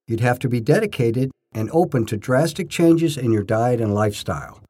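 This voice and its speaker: Older guy reading his life story, bit raspy, pitch moves around a lot, storyteller emphasis. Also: lively intonation, normal speed, mellow. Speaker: narrator telling his own memoir stories. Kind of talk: scripted first-person story narration.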